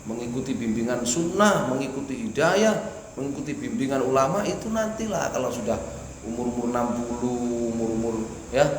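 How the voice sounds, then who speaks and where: A man giving a mosque study lecture, reading Arabic phrases and explaining each in Indonesian, asking the audience questions and answering them himself. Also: mid-range voice. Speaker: religious teacher. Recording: echoey hall with faint hiss.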